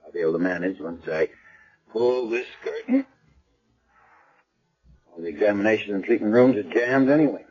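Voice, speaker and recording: An actor playing a gruff but kindly, world-weary senior doctor in a 1970s radio drama, offhand and dryly clinical. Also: male voice, fairly low pitch, very animated, normal speed, pauses often, a little mellow. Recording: dull lo-fi old radio broadcast.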